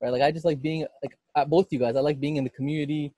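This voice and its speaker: A young man with a slightly higher, mellow voice that sounds dry and close. He co-hosts a podcast interview in a chatty, casual way. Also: fairly even intonation, rapid-fire, dry recording. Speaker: podcast co-host.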